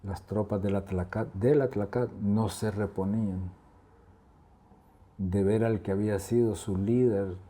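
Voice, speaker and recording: A Salvadoran-accented man of mature years shares memories in a conversational interview. His voice is relaxed and mellow, and fairly low in register. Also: measured pace. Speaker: interviewee giving testimony. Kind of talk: conversational recollection.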